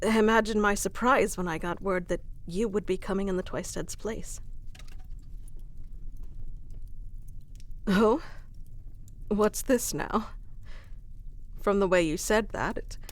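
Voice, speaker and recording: A woman's voice: mid-range voice, measured pace, lightly acted. A woman voicing a devoted, lovestruck fantasy noblewoman in an ASMR romance roleplay, earnest and dramatic with formal storybook phrasing. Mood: surprised, hopeful.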